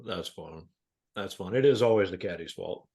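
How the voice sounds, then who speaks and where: Low-voiced man hosting a casual chat. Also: normal speed, a little mellow. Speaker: podcast host.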